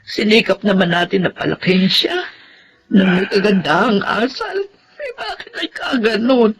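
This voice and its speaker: A woman's voice: medium pitch, animated, moderate pace, creaky. A woman playing a sorrowful, longing middle-aged Filipina mother in a radio drama, with a plaintive way of speaking. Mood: sorrowful, tearful. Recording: faint background noise and ambience.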